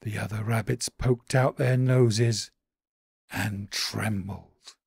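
A man narrating a children's picture book in an expressive, dramatic way. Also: on the low side, slow pace, vocal fry, slightly rough, no room sound, clean studio sound. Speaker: author reading own picture book.

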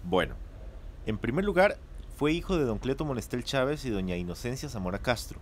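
A man's voice: medium pitch, big pitch swings, fairly quick, slight background noise, close to an everyday voice. A man with a Costa Rican accent playing a studious young student giving a class presentation in a radio play. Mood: earnest.

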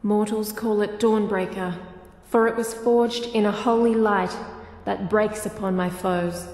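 A woman's voice: medium pitch, steady tone, measured pace, a little mellow, natural voice. A woman voicing an imperious, stately goddess in a fantasy game, speaking formally and solemnly. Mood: commanding. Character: imperious female goddess, stately, wrathful, commanding. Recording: echoey hall-like reverb.

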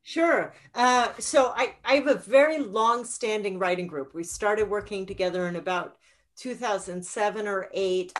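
A woman in her forties, an author answering questions conversationally on a video call from home. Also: mid-range voice. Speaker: author guest. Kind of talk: conversational book-event Q&A. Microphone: video call computer mic.

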